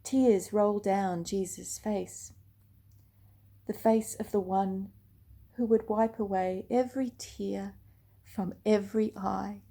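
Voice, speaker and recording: An older woman reads a children's storybook aloud at an unhurried, slow pace. Her voice is gentle and hushed and sits at a middle pitch. At times she falls to a soft near-whisper. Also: fairly even intonation, vocal fry.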